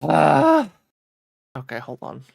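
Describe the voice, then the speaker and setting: A livestreamer chatting casually and excitably with viewers, swearing freely as they banter. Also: mid-range voice, slow, gravelly, no echo, studio quality. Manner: excitable, profane, bantering with chat. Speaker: streamer. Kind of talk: casual livestream chat and reading a visual novel aloud.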